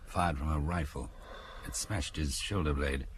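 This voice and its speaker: A man's voice: a bass voice, a little slow, noisy background. An actor in an audio drama playing a businesslike police officer reporting to his chief.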